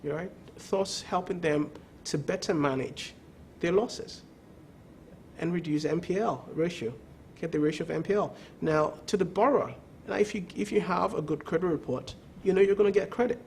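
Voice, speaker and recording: A man's voice: sits high, moderate pace, vocal fry, a little mellow, slight background noise. A man speaking as a credit bureau executive in a TV business interview, emphatic, repeating words and often asking "okay?". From not from the country of the show.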